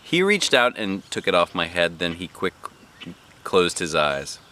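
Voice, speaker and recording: A man reading a children's book aloud as a dad, with expressive, animated narration and put-on character voices. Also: low voice, unhurried, slight background noise.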